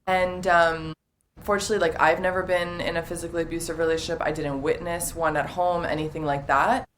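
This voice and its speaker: Young woman, relationship coach, chatty with fillers, lowish voice, faint background hum. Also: little pitch movement, brisk. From half Dominican, half Italian, partly raised in the US. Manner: chatty, many "like" fillers, warm and open. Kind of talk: casual interview chat.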